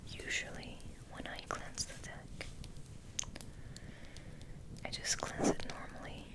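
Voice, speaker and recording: Slow whispering woman, ASMR. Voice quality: breathy, unvoiced whisper. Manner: slow, gentle, soothing, repeats words softly. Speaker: ASMR host. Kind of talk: whispered ASMR ramble with soothing affirmations.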